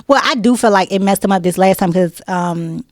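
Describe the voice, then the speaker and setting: A woman hosting a podcast, talking conversationally and quick to affirm her guests. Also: medium pitch. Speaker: podcast host. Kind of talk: casual podcast chat.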